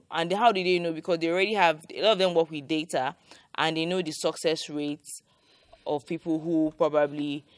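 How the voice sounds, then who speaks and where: Smooth-voiced woman chatting advice. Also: sits low, no room sound. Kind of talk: casual conversational advice.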